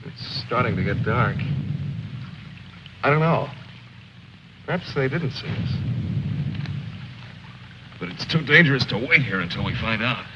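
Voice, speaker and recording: A man's voice: mid-range voice, animated, measured pace, pauses often, natural voice. An actor playing a wry, articulate male officer leading stranded soldiers, in the manner of a 1950s war film. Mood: urgent. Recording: noisy, muffled old film soundtrack.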